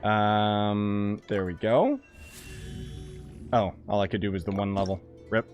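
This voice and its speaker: A man's voice: lowish voice, takes it slowly, muffled recording. A man giving casual, blunt and slangy live commentary as he streams a video game. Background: game music.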